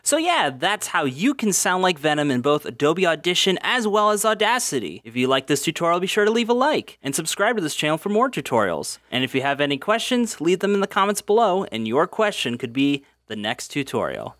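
A man's voice: on the high side, big pitch swings, a little fast, hardly any pauses, fairly bright tone, no echo, studio quality. A man giving a casual instructional voice-over as a tutorial host, explaining informally step by step.

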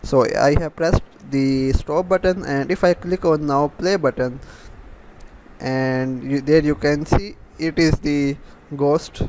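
A man with a slightly high voice narrates a software tutorial off the cuff. He explains step by step in a halting way, repeating himself. There is faint noise behind his voice. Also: steady tone. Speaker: programming tutorial host.

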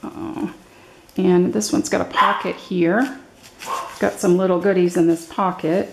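An older woman giving a casual, unscripted show-and-tell as a craft vlogger, halting and thinking aloud as she goes. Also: lowish voice, fairly even intonation, gravelly, background noise. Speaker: craft vlogger showing her handmade journals. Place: indoors at a table, hands-only overhead camera.

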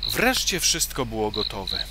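Guy reading a kids story, really animated, loud noise n clicks behind him. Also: fairly low pitch, measured pace. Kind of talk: scripted children's story narration.

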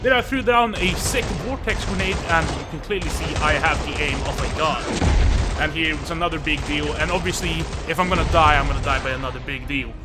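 A man's voice: a little high, brisk, loud music behind the voice. A young man, a gaming YouTuber, making a jokey, mock-boastful sponsor plug that rambles on. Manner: jokey, self-aggrandizing, rambling.

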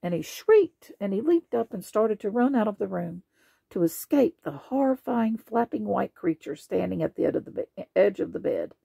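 A woman reading children's bedtime stories aloud in an expressive way. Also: medium pitch, moderate pace, creaky, breathy edge, no room sound, studio quality. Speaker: storybook reader.

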